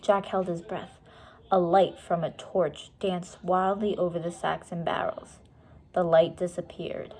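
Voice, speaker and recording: A woman's voice: lowish voice, steady tone, unhurried, a little mellow. A woman reading a children's storybook aloud expressively, explaining things simply and casually to young listeners.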